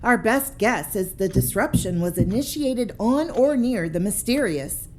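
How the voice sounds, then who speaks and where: A woman's voice: medium pitch, animated, measured pace, darkish tone, slight background noise, lightly acted. A woman voicing a starship purser who reports to an inspector in a businesslike way. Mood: reporting. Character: ship's purser, woman, dutiful and tired.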